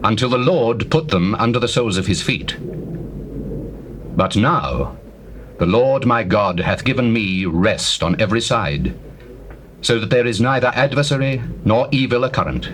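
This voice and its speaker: A man's voice: lowish voice, animated, moderate pace, lightly acted. An actor playing a devout, stately king in dramatized scripture, speaking formally in archaic English. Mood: solemn, resolved. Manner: formal, declamatory. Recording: faintly noisy old tape recording.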